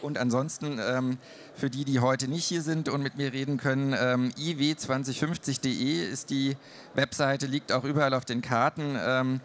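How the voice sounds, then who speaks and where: A man's voice: medium pitch, fairly even intonation, normal speed, slight background noise. A man in his forties, spokesman for an initiative, inviting visitors to talk, with frequent fillers, on a trade fair stage with a handheld mic. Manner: friendly, inviting, many fillers. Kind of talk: panel discussion on stage.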